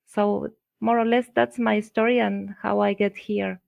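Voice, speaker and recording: Middle-aged female interview guest, Argentine accent, Spanish native, slow halting conversational delivery, dry close sound. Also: medium pitch, level tone, gravelly, a little mellow, dry recording, lo-fi sound. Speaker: interview guest, visual artist. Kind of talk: conversational personal storytelling.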